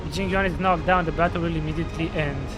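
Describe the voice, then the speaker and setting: Give me a man with a fairly high voice reacting to a game as he plays. He jokes casually, with game music playing clearly behind. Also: fairly even intonation, quick pace. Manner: playful, jokey reactions. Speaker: game streamer. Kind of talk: playthrough commentary.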